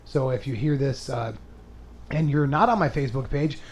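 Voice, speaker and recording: A man's voice: medium pitch, normal speed, a little mellow. A man hosting a comedy podcast in casual, crude chat, rambling, self-mocking and profane. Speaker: podcast host and stand-up comedian.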